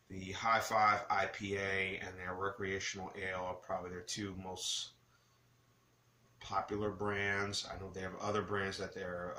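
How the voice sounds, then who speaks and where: A man hosting a casual, rambling beer review, speaking haltingly and often correcting himself. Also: deep voice, bright, forceful tone. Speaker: beer review vlogger, host. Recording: roomy echo with clear background noise.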